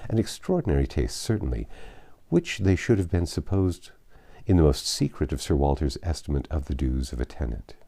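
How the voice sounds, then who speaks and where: A man reading a classic novel aloud as an audiobook narrator, in an expressive scripted style. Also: extremely low pitch, a little fast, creaky.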